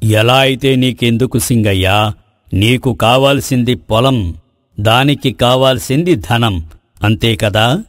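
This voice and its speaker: A man voicing a magical talking tree in a moral story, calm and tempting, with slow, formal delivery. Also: medium pitch, dry recording, clean studio sound, lightly acted. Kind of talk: Telugu moral story voice acting.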